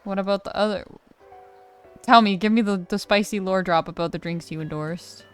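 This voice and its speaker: A woman's voice: mid-range voice, normal speed, clear, resonant tone. A young woman doing casual, chatty and slangy let's play commentary as a gaming streamer. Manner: chatty, slangy, reacting aloud. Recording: game music behind voice. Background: video game music.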